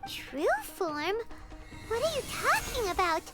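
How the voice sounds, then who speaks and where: A woman voicing a small, excitable, childlike floating game companion, blunt and cheeky. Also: extremely high pitch, takes it slowly, full character voice. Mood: puzzled. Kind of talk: scripted video game voice acting. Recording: background music under voice.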